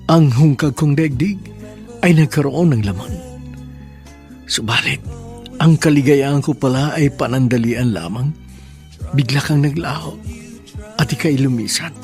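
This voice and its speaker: A man's voice: mid-range voice, unhurried, long pauses, a little mellow. A man with a Filipino accent hosting and narrating a radio drama in a formal, sentimental, scripted style. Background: faint music.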